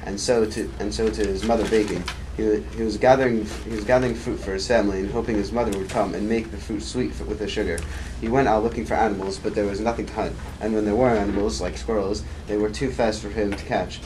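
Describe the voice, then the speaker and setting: A man's voice: on the low side, steady tone, fast talker, darkish tone. A man narrating an amateur puppet show, reading hesitantly with frequent restarts. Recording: noisy amateur recording.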